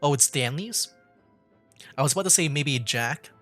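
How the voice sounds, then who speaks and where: A man's voice: on the high side, animated, normal speed, fairly bright tone, soft background music. A man giving casual, reactive gameplay commentary as a streamer, with slangy phrasing.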